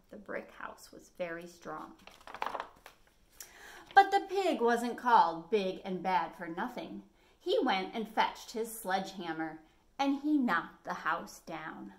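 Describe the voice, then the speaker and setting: Expressive middle-aged woman reading to children. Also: on the low side. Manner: animated storytelling with dramatic emphasis. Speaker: library storytime host. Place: living room at home, indoors.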